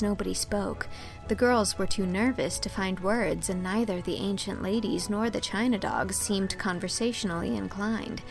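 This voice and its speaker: A woman reading scripted literary narration as an audiobook narrator, in an expressive storytelling manner. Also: mid-range voice.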